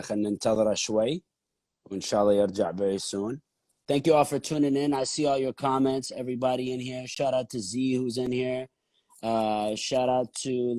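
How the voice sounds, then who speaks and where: A man hosting a live stream, interviewing a guest over a video call and giving relaxed, friendly shout-outs to viewers. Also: mid-range voice, dry recording. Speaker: host.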